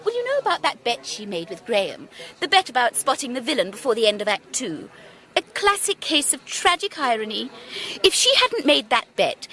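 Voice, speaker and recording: A woman's voice: fairly high pitch, a bit bright. An actress playing an effusive, gossipy, affected British society woman in a theatrical radio drama.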